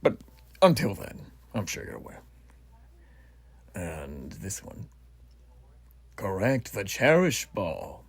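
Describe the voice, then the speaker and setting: A man playing a low, husky patriot officer. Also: wildly swooping pitch, takes it slowly, pauses often, no echo, full character voice. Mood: brisk, clinical. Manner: formal, rambling, theatrically stately, hushed asides. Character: earnest, proud patriotic revolutionary army officer examining recruits. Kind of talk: roleplay voice acting addressed to the listener.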